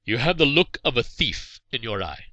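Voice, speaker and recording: A man voicing a stern but merciful Saracen warrior in a storybook, speaking in a measured, formal, commanding way. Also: medium pitch, big pitch swings, bright, forceful tone, no room sound, pitched above the performer's own voice.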